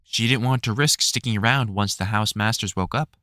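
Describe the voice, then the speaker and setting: A man reading a whimsical storybook as narrator, in an expressive, measured storytelling manner. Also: lowish voice, no room sound, studio quality.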